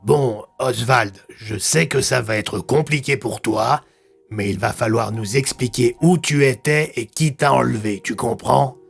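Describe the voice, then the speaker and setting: A man voicing a concerned, affectionate police chief, plain-spoken and weary, in a measured conversational way. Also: mid-range voice, creaky, breathy edge, faint music behind. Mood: patient.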